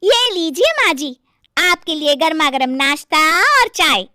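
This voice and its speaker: A woman voicing a fussy, coy young village daughter-in-law in an animated story, with drawn-out, whiny delivery. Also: very high-pitched, no echo, clean studio sound, full character voice. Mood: sweet, coy. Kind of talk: Hindi animated moral story acting.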